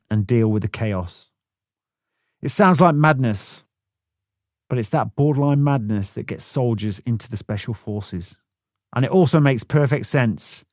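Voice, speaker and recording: A man's voice: medium pitch, darkish tone, no echo, studio quality. A man narrating a first-person memoir audiobook in a blunt, plain-spoken way, swearing freely.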